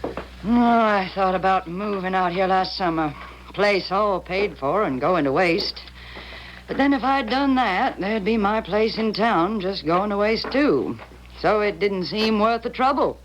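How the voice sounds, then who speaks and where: A woman's voice: mid-range voice, expressive, a little slow, natural voice. A tough old country woman played by an actress in an old radio play, with slight background noise. Her American voice is folksy and blunt, grumbling and suspicious, as if guarding every cent owed to her. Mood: folksy, matter-of-fact. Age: older. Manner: folksy, blunt, drawling plain speech. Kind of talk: 1970s radio drama acting. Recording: noisy old radio broadcast recording.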